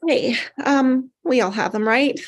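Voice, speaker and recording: A woman's voice: high-pitched, measured pace. A woman playing an anxious, apologetic doctor in a script table read. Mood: flustered, apologetic. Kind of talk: acted script reading.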